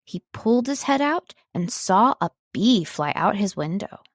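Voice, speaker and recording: A woman reading scripted story narration as an audiobook narrator, with expressive, dramatic storytelling. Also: medium pitch, measured pace, dry recording, clean studio sound. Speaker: audiobook narrator, voice-over artist.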